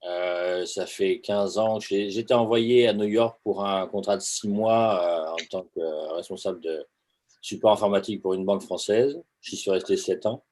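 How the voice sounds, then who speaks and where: A man from Neuilly-sur-Seine, a guest talking about himself in a relaxed, plain-spoken way. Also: low-pitched, normal speed. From Neuilly-sur-Seine, France. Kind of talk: casual chat.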